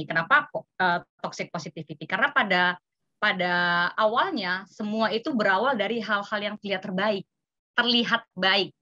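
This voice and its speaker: A woman's voice: mid-range voice, sharp tone, dry recording, highs cut off. A woman presenting at an informal seminar, explaining conversationally and acting out example remarks. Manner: illustrative, acts out example remarks, checks in with "ya". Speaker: seminar speaker. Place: online video meeting.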